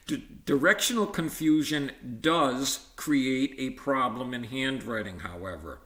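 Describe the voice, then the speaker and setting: A man reading an educational textbook aloud in a measured, explanatory way, sometimes stumbling and correcting himself with informal asides. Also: mid-range voice, a bit bright. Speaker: volunteer-style book reader. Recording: roomy, echoey sound.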